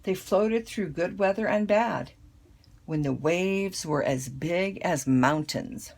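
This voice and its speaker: Creaky, husky older woman reading a storybook. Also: fairly low pitch, lively intonation, slow, a little mellow. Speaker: grandmother reading a picture book. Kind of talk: children's storybook read-aloud.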